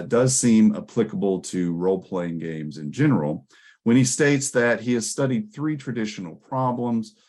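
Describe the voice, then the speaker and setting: A man with a low voice delivers an academic presentation. He explains in a scholarly manner, pausing and hesitating as he works through his points. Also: a little mellow. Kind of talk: conference presentation, explanatory lecture.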